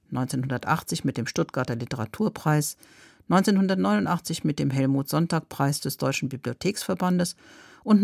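A male radio presenter reads a scripted introduction in a measured, informative way. His voice sits fairly high for a man, with noticeable creak and a dry, close sound. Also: a little mellow, dry recording.

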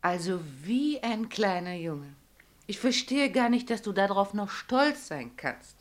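Female, medium pitch, as a subdued, disappointed wife gently scolding her husband in a radio play. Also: sing-song, measured pace. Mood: reproachful. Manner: reproachful, subdued. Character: disappointed, reproachful wife of a tram driver. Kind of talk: German radio play acting.

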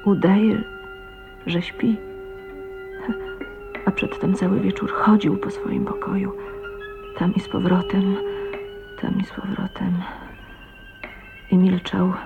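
An actress playing a shy, troubled young wife in an emotional radio drama with intimate inner monologue. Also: female voice, mid-range voice, sing-song, slow, creaky, pauses often, in a whisper, over music, full character voice. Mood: brooding, shaken. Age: young adult. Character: shy, troubled young wife of twenty-nine, brooding on her fate. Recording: muffled, noisy 1980s radio recording.

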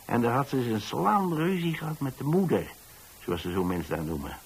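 A man's voice: medium pitch, sing-song, a little fast, breathy edge. An actor playing a kindly retired landlord, an older man telling a story in a hesitant, folksy, conversational way for radio drama. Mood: chatty, sympathetic. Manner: hesitant, folksy, chatty, with small asides. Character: kindly retired landlord telling about his young lodger.

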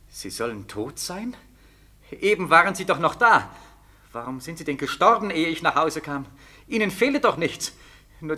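An actor playing a bewildered, desperate soldier home from Siberia in a 1940s German radio drama, with a plaintive, insistent delivery. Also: male voice, a little high, bright, forceful tone. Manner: insistent, repetitive questioning.

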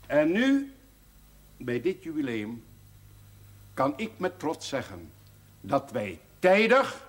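A proud older company director in a period TV drama. He speaks solemnly and very slowly, his pitch swelling and sinking widely as he delivers a formal jubilee address. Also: wildly swooping pitch, exaggerated voice. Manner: solemn, oratorical, deliberate, with emphatic swells. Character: proud older male brewery director giving a formal speech.

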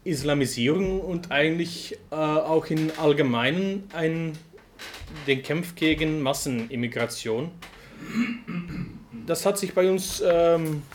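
A man from Flanders with a Flemish accent, giving a speech in a language that is not his own, often pausing to search for words. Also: a little high, darkish tone, a little hiss or hum. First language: Dutch. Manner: halting, pauses to search for words. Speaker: guest speaker. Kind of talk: speech to an audience.

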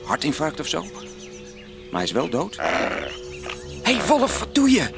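A man's voice: fairly high pitch, huge pitch swings, measured pace, gravelly, over loud music, heavily acted. A male actor in a Dutch radio play playing a level-headed teenage boy detective. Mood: excited.

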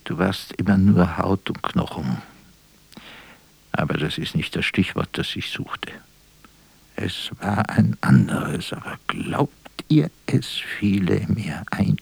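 Washed-up old stage comic in a radio drama, low husky voice, rambly and theatrical, forgetful and bitter. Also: male voice, expressive, no room sound, whispered, heavily acted. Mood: searching, weary. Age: older. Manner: theatrical, halting, breaking off and repeating himself. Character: faded old vaudeville actor, vain, rambling, forgetful, bitter.

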